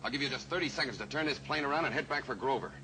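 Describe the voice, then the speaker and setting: A man's voice: medium pitch, very fast, natural voice. An actor playing a cornered male killer who has taken a small plane hostage, in a 1950s radio drama. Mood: menacing. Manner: menacing, then panicking. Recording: old radio recording with hiss.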